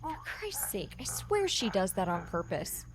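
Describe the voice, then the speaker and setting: A woman's voice: medium pitch, sing-song, measured pace, a crowd in the background. A woman playing a solemn but easily irritated narrator in a comedy audio drama.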